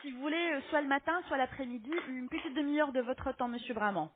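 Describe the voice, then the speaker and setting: A woman making a phone sales pitch as an appointment-booking agent, polite but insistent, often ending on a checking question. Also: fast, noisy background. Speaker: telemarketing agent booking health insurance appointments. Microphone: telephone. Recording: lo-fi muffled phone call.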